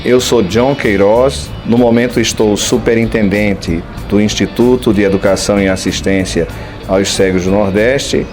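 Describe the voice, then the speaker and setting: A man's voice: on the low side, fairly even intonation, moderate pace, darkish tone, soft background music. A man in his fifties, a superintendent and educator, introducing himself formally. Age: 50s. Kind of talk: self-introduction.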